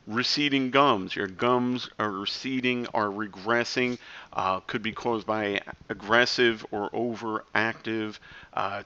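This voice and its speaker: A man's voice: on the low side, sharp tone, highs cut off. A man in his forties giving casual, rambling advice to camera with chatty self-deprecating asides. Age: 40s. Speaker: health vlogger.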